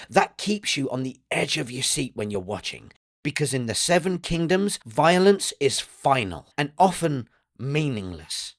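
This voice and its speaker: A man's voice: a little high, no echo, studio quality. A man delivering a scripted video essay with an earnest, emphatic storytelling manner. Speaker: video essay narrator and reviewer. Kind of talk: scripted dramatic commentary.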